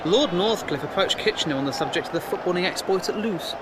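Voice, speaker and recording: A man's voice: high voice, lively intonation, quick pace, a loud crowd, heavy background noise. An actor playing an earnest, questioning First World War newspaperman, well-spoken, formal and wry, in an audio drama. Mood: earnest, probing. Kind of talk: period audio drama acting.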